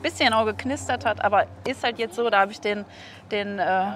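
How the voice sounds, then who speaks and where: A woman's voice: mid-range voice, brisk, fairly bright tone. A woman in her thirties chatting casually in an interview, speaking outdoors into a clip-on mic. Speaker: interviewer, hobby aquarium video creator. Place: outdoors beside an expo building. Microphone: clip-on wireless mic on the chest.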